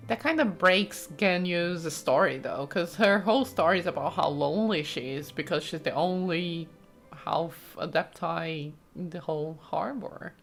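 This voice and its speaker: A woman's voice: on the low side, unhurried, vocal fry, faint music behind. A woman giving casual, reactive let's play commentary as a gaming streamer.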